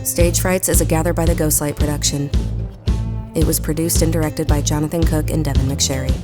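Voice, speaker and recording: Female credits announcer, low register, scripted read over loud guitar music. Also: little pitch movement, brisk.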